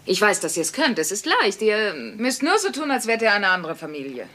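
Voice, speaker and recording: A woman's voice: medium pitch, sing-song, brisk, pushed and bright. A woman playing a sarcastic but caring working-class mother in a dubbed sitcom, speaking dryly. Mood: wry, firm. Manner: dry, sardonic. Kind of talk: German-dubbed sitcom dialogue.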